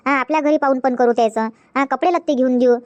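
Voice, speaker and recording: A woman voicing a young married woman in a comedy cartoon, talking quickly and chattily with a Varhadi Marathi accent. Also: high-pitched, fairly even intonation, no echo, lightly acted. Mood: persuading. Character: young wife Megha, also playing Preeti on the phone.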